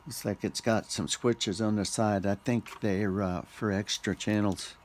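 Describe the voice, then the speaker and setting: A man giving casual, unscripted unboxing commentary as a vlogger, speaking in short clipped remarks with pauses. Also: on the low side, fairly even intonation, a little mellow, slight background noise.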